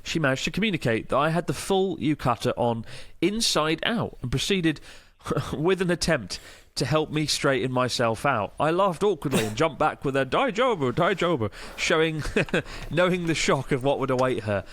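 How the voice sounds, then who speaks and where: A man's voice: on the high side, lively intonation, normal speed, fairly bright tone, no room sound. A man in his thirties with a Northern English accent, co-hosting a casual podcast, chatting and reading listener mail aloud with laughter. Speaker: podcast co-host.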